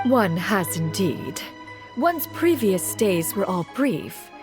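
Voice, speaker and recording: A woman's voice: medium pitch, very animated, slow. A woman voicing a proud, ancient female spirit who speaks in archaic, formal phrasing. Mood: reflective. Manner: archaic, refers to herself as "one", lofty. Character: haughty ancient adepta, female crane spirit. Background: game music.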